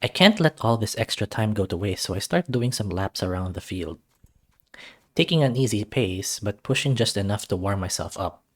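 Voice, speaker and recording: Low-voiced man reading a story aloud. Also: animated, normal speed, a bit bright. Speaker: let's-play reader. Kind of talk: reading a visual novel aloud in first-person narration.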